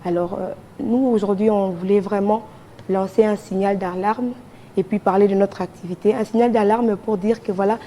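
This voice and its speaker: A woman's voice: medium pitch, fairly even intonation, moderate pace, mellow, a little hiss or hum. A woman with a Congolese accent speaking as a charity guest in a TV studio, making an earnest appeal with repeated phrases. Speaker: charity association representative, TV guest.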